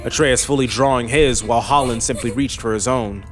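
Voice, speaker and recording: A man's voice: medium pitch, brisk, a bit bright. A man reading scripted fantasy narration as an audiobook narrator. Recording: faint music under the voice.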